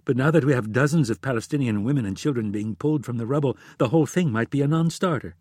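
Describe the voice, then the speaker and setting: A man's voice: mid-range voice, darkish tone, no echo, studio quality, natural voice. Man reading as a composed, firm American female intelligence director. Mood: neutral, firm. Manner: neutral, measured, controlled.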